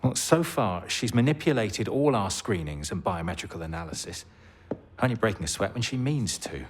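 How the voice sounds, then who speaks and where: Game intelligence officer, husky, varied intonation, measured, dry briefing. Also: male voice, lowish voice, very animated. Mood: dry, thoughtful. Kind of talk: cinematic video game acting.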